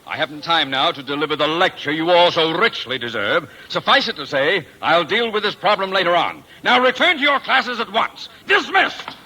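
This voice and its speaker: An actor playing a pompous, irritable, sarcastic high school principal in an old radio comedy. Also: male voice, expressive, normal speed, few pauses, bright, forceful tone. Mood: stern, blustering. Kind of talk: 1940s-50s radio comedy acting. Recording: noisy old radio show recording.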